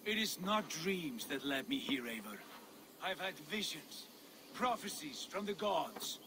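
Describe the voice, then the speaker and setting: Grandiose devout Norse warrior. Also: male voice, fairly high pitch, big pitch swings, a little slow, background noise. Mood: solemn. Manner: grandiose, ceremonious. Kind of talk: dramatic video game acting.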